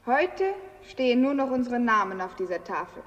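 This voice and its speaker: An actress playing the mournful voice of a conquered city in a poetic radio play. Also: female voice, a little high, sing-song, moderate pace, lo-fi sound. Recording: long hall reverb.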